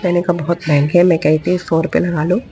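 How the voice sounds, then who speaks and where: A hoarse woman vlogger chatting casually in a voice-over about a shopping trip, repeating phrases for emphasis. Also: on the low side, level tone, quick pace, warm tone.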